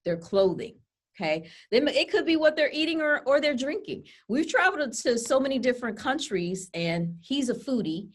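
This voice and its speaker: A woman's voice: sits high, big pitch swings, normal speed. Woman co-host, chatty webinar presenter, laptop webcam call audio. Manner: chatty, full of fillers like "you know" and "okay?". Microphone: laptop webcam on a video call.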